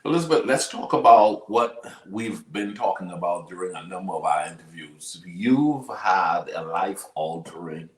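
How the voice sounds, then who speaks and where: A man's voice: deep voice, animated, normal speed, few pauses. A man with a Bahamian accent hosting a conversational interview, often repeating and rephrasing his questions. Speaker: interview show host. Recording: roomy, echoey sound.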